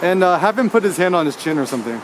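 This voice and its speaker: A man leading a street photography workshop outdoors, giving brisk directions and joking with passersby. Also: high-pitched, big pitch swings, noisy background. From United States. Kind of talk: on-street coaching, casual chat.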